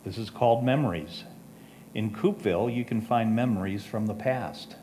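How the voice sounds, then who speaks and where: A man's voice: fairly low pitch, moderate pace, mellow. A man reading photo titles and short captions aloud for a presentation. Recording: clear background noise, room ambience.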